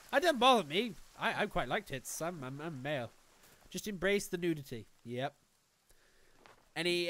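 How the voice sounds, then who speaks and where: Excitable Welsh man, high lively voice. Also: clean tone. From Wales. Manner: excitable, jokey, talks to the game and chat. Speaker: streamer. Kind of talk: live gameplay commentary. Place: small room at home. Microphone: headset.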